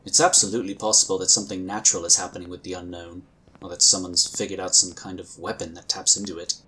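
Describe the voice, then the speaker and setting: An actor playing a calm, courteous psionic inquisitor in a sci-fi audio drama, with measured, formal phrasing. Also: male voice, low-pitched, husky, exaggerated voice, poor audio. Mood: thoughtful, reasoning.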